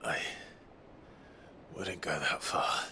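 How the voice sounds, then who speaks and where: A man acting a brooding, resolute young fantasy warrior in a video game, measured and hushed. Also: a bass voice, background noise, heavily acted. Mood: quietly earnest.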